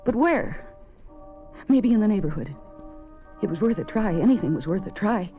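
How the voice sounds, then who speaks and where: Actress doing a young wife who keeps pleading for her husband, earnest, dull radio sound w soft music. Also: medium pitch, lively intonation, moderate pace, close to an everyday voice. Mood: narrating. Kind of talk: 1940s radio acting, first-person narration. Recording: dull old radio recording.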